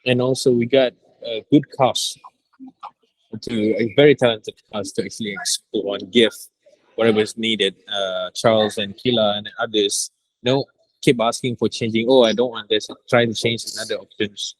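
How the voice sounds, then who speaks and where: Husky-voiced man, halting and low. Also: fairly even intonation, no room sound, rough recording. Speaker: director of an audio drama. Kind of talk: reflective panel interview answer.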